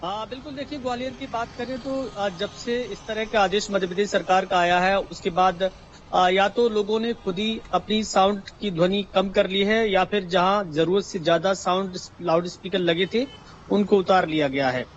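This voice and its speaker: A man's voice: sits high, fairly even intonation, normal speed. A male news correspondent giving an unscripted live report with frequent hesitations, over a dull lo-fi link.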